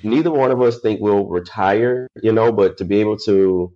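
A man in his thirties, a podcast guest, answering earnestly with pauses and "uh" fillers. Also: fairly low pitch, lo-fi sound. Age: 30s. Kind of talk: casual interview answers.

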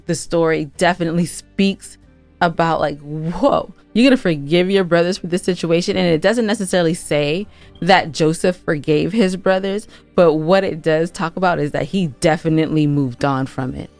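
A woman's voice: fairly low pitch, normal speed, a little mellow, no room sound, faint music behind. A woman co-hosting a podcast in casual conversation, speaking expressively and with emphasis. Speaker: podcast co-host and audio drama writer.

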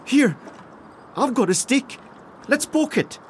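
A man's voice: very high voice, animated, takes it slowly, full character voice. A man playing a casual, simple, curious sidekick in an audio comedy. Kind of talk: audio fiction comedy acting. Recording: clear water and boat noise behind.

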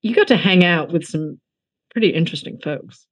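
A woman from the United States hosting a casual book interview, talking informally with animated, self-deprecating asides. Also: lowish voice, fairly quick. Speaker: interviewer, host.

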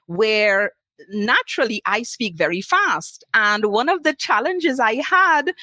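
A woman's voice: a little high, big pitch swings, takes it slowly, bright, forceful tone, dry recording. A woman from Trinidad with a Trinidadian accent, a nurse speaking earnestly on a panel and listing points with emphasis. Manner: earnest, emphatic, lists items. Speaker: international nurse guest. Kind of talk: panel talk.

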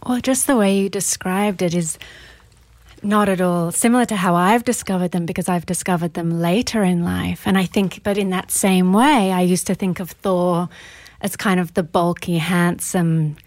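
A woman's voice: lowish voice, fairly even intonation, normal speed, darkish tone, dry recording. A woman hosting a podcast interview, asking questions and chatting informally in a conversational style.

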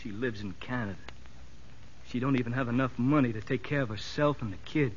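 An actor playing a desperate, homesick convict in a 1950s radio drama, halting and anguished. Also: male voice, mid-range voice, a little hiss or hum, lightly acted. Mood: anguished, confessing. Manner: halting, anguished, repeating himself. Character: desperate, homesick male convict pleading under hypnosis. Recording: dull, muffled vintage radio recording.

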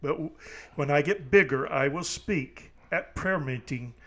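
Male pastor guest, slightly high bright voice, short replies, a bit off mic. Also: a little slow. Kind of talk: casual interview answers.

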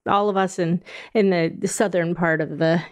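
A woman's voice: fairly low pitch, fairly even intonation, moderate pace. A woman from New Hampshire hosting a podcast, asking friendly, curious questions. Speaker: podcast host. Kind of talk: casual interview chat.

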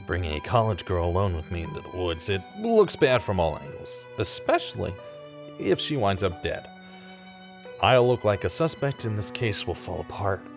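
A man's voice: low-pitched, sing-song, normal speed. A man playing an earnest investigator in a horror audio drama, with grave, dramatic delivery as he hunts a killer. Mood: brooding. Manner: grave, dramatic inner narration. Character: earnest male investigator hunting a killer in monster-haunted woods. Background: loud music.

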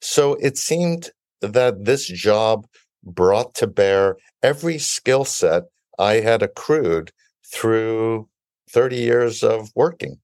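A middle-aged or older man who grew up in Brooklyn, giving measured, articulate answers as an interview guest. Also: fairly low pitch, animated, darkish tone, dry recording. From Italy-born, raised in Brooklyn, New York. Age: 50s or older. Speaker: interview guest, biotech founder and CEO.

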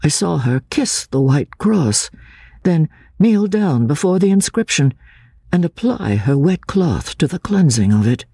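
A man narrating classic literature dramatically, in a theatrical and measured manner. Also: fairly high pitch, very animated, warm tone, slightly rough, dry recording. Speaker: audiobook narrator.

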